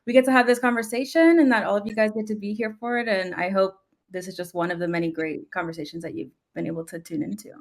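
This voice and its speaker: A woman's voice: mid-range voice, steady tone, quick pace, hardly any pauses. A young woman from New York, a panel guest, telling her career story in a chatty, conversational way with many "um"s and "like"s. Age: young adult. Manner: warm, chatty storytelling with frequent "like" and "um".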